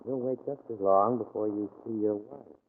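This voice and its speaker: An actor playing a coolly polite, threatening gangster boss in an old-time American radio crime drama. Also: male voice, on the low side, moderate pace, close to an everyday voice. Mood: cool menace. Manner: smooth, mock-courteous, threatening. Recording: tinny, noisy old radio recording.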